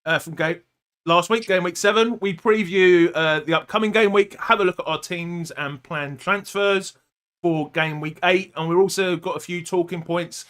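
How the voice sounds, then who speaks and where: A man's voice: sits high, normal speed, a bit bright. A man hosting a casual football fantasy podcast, chatty and full of little fillers. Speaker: podcast host.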